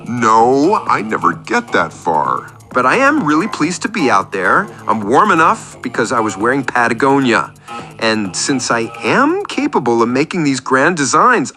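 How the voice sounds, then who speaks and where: An earnest, lonely male oddball overshares in rambling detail, played by a man for a radio comedy. His mid-pitched voice is bright and forceful, swinging widely in pitch, with music behind it. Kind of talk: scripted radio comedy monologue.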